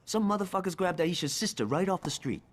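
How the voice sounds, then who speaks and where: Young male gangster for a video game. Cocky, foul-mouthed, sardonic, very lively delivery. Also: sits high, fast. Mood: annoyed, urgent. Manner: brash, sarcastic, profane. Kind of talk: video game cutscene acting.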